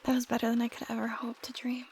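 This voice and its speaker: A woman playing an affectionate, shyly teasing young roommate in an intimate ASMR roleplay, speaking softly and often dropping to a whisper. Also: a little high, completely flat delivery, fast, slight background noise, natural voice. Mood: relieved, affectionate.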